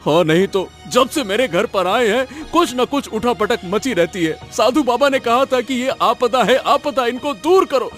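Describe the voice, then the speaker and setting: Man acting a high, slow, plaintive cartoon farmer. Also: animated, fairly bright tone, faint music behind, full character voice. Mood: aggrieved resolve. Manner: plaintive, drawn-out lamenting. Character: wailing, wronged papaya farmer, aggrieved and self-pitying. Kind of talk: Hindi cartoon story voice acting.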